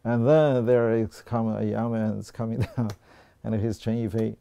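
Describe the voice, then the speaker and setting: A man in his sixties speaks with a Chinese accent and a very dark, mellow tone. His voice is gravelly and stays fairly even as he recounts his own story in a reflective, halting way. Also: lowish voice, no echo. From China. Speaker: artist and art professor. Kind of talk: personal storytelling in a documentary interview.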